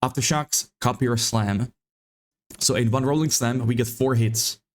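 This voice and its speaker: Slightly hoarse man explaining a game build. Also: mid-range voice, moderate pace. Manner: loose and rambling, repeats words while thinking. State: slightly hoarse, losing his voice. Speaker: gaming content creator. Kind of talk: casual game build guide.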